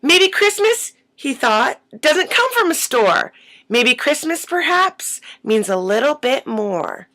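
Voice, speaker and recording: A woman reading a children's storybook aloud in an animated way. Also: high voice, slow.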